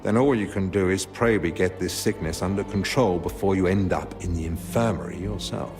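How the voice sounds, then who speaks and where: An actor playing a calm royal healer in a video game, speaking in a measured, sober way. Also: male voice, low voice, soft background music. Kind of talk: video game cutscene acting.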